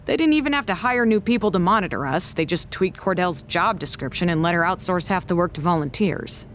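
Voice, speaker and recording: A woman acting a guarded, suspicious researcher in an audio drama, measured, dry and skeptical. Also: lowish voice, animated, a little hiss or hum, close to an everyday voice. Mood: grim, reasoning.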